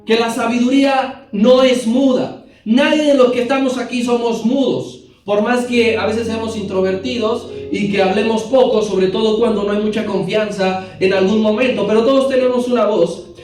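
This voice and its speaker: A man with a very high voice teaches a Bible class, explaining each verse and turning to his listeners with questions. His voice rings through a hugely echoing hall, picked up from some distance. Faint music sits underneath. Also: steady tone, moderate pace, darkish tone.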